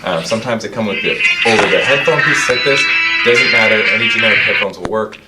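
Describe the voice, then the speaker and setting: A man's voice: fairly low pitch, brisk, over loud music, rough recording. A man giving an informal, explanatory product demonstration as the presenter of a review.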